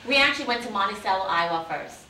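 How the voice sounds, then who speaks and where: A woman in her forties from Vietnam recounts her path in an earnest, even way, her voice bright and forward. The mic sits some way off in a reverberant room, with a faint hiss underneath. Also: medium pitch, quick pace, recorded from a distance. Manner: earnest, steady storytelling. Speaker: city council candidate. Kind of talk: interview answers, life story.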